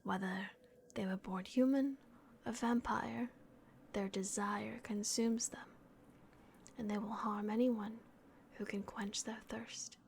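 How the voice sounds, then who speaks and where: Woman acting a whispering, slow, gentle vampire guardian. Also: medium pitch, steady tone, a little hiss or hum. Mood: somber, confessional. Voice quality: breathy, whispered with creaky edges. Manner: slow, hushed, hesitant pauses. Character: gentle, remorseful vampire guardian of a lost child. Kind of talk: ASMR roleplay, soft whispering. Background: dark castle ambience.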